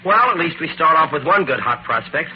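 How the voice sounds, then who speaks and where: An actor playing an eager, cocky detective's sidekick in an old-time radio drama, breezy and slangy. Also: male voice, fairly high pitch, lively intonation, fairly quick, natural voice. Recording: noisy, muffled old radio recording.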